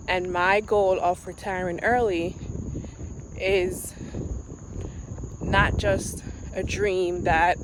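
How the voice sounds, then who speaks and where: A woman in her forties, originally from Jamaica, vlogging to camera outdoors on a quiet street in an earnest, reflective way. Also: fairly low pitch. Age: 40s. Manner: earnest, reflective, with pauses and restarts. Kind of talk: casual vlog to camera. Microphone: handheld camera. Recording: outdoor noise with insects. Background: insects and passing vehicles.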